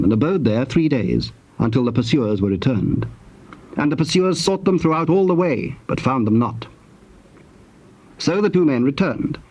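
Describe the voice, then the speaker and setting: A husky male narrator tells scripture in a solemn, measured way. The scripted storytelling is mid-pitched, on a slightly muffled recording. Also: darkish tone. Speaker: narrator of dramatized Bible. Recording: slightly dull tape sound.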